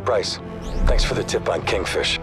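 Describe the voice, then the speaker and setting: A man playing a steady, wry special forces operative in a video game briefing. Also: lowish voice, normal speed, over music, close to an everyday voice. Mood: dry, steady.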